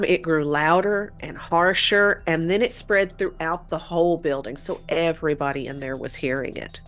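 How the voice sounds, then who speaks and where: Woman w lowish voice telling a spooky story on a show, chatty style, bit of music under it. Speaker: co-host telling a paranormal story. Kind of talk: conversational storytelling.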